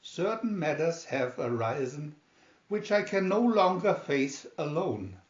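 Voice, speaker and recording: A troubled father pleads gravely in a storybook letter, read by a man. His words are solemn and drawn out, very slow. The sound is roomy and a little dull. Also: fairly high pitch, lively intonation. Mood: heavy-hearted. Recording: roomy, dull home recording.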